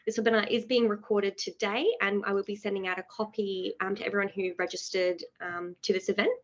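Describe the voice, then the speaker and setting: A woman's voice: mid-range voice, level tone, brisk. A woman hosting an investing webinar on a video call, reading a scripted company introduction with frequent um fillers. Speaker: webinar host. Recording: dull lo-fi online call audio.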